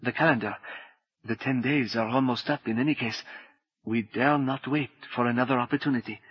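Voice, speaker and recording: A man reading an audiobook, voicing a quiet, formal French woman who brings urgent news. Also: medium pitch, fairly even intonation, brisk, husky, dry recording, muffled recording, lightly acted. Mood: grave, urgent. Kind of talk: audiobook character voice.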